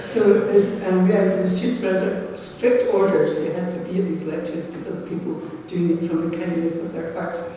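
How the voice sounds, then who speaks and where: A woman's voice: fairly low pitch, steady tone, moderate pace, few pauses, dark tone, distant mic, slight background noise. A woman, a former teacher, telling a rambling anecdote with many ums during a seminar discussion. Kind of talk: discussion comment. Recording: echoey hall, recorded from afar.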